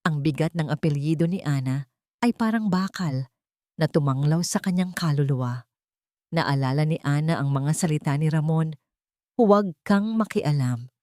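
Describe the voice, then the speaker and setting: A woman's voice: low-pitched, moderate pace, dark tone, no room sound, clean studio sound. A woman with a Filipino accent reading a dramatic scripted romance story as the storyteller.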